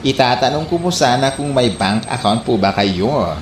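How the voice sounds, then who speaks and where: A man playing a polite, formal, smooth-talking survey taker with an ingratiating manner in a comedy radio drama. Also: medium pitch, lively intonation, moderate pace, natural voice. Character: smooth-talking young male survey taker, scheming stranger. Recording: echoey room, faint background noise.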